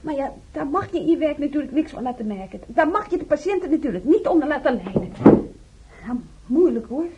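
An actress playing a young nurse in a radio drama, self-reproaching and candid, talking in a hesitant, confiding, colloquial way. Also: female voice, high voice, animated, brisk, muffled recording, very noisy. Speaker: radio play actor. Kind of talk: naturalistic radio drama dialogue.

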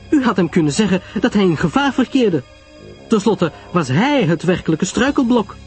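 A man voicing an earnest, questioning, easily astonished woman in an audiobook story, with a husky voice. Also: high-pitched, pitched above the performer's own voice. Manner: questioning, insistent, emotional.

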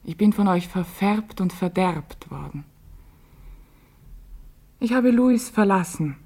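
An actress playing a fearful, bitter, solitary woman in a literary radio play monologue, with a breathy delivery. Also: mid-range voice, lively intonation, takes it slowly, dark tone. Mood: anguished, bitter.

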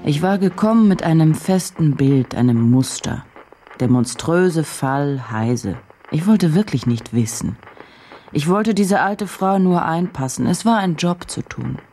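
An actress playing a probing, self-doubting journalist in a radio drama, speaking deliberately with pauses, as if weighing and dictating each phrase. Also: female voice, sits low, expressive, dark tone, slight background noise. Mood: troubled, reflective.

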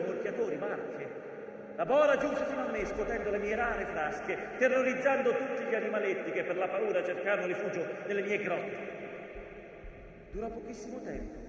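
In a long, distant stage reverb, an ancient mountain speaks through a male actor. The voice is high and projected, full of theatrical declamation. It tells its story with dramatic weight and biting irony. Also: natural voice. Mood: grave, foreboding. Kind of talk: theatrical monologue. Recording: echoey theatre stage, distant mic, faint noise.

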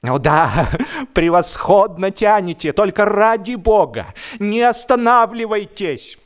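A man voicing an exasperated gentleman boater in a comic audiobook, pleading loudly with strained, emphatic delivery. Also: sits high, expressive, takes it slowly, voice pushed up.

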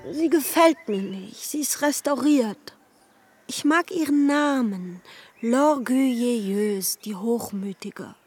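A woman's voice: on the high side, takes it slowly, a little mellow, breathy edge, faint music behind. An actress playing a capricious, opinionated young female lover in a German literary radio drama, speaking directly and decisively. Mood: opinionated, musing.

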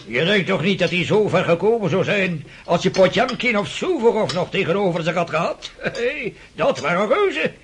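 An actor playing a cantankerous, opinionated old prince in a radio drama, husky and creaky, sputtering and repeating himself as he blusters. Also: high-pitched, sing-song, normal speed, hardly any pauses, a little hiss or hum. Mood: scornful, agitated. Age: older.

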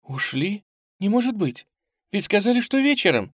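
A man voicing an excitable, childlike young girl in an audiobook, with breathless exclamations. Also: high voice, slow, no room sound, clean studio sound, voice pushed up, heavily acted. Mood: shocked. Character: round-faced excitable young girl, frightened and tearful. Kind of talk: audiobook character acting.

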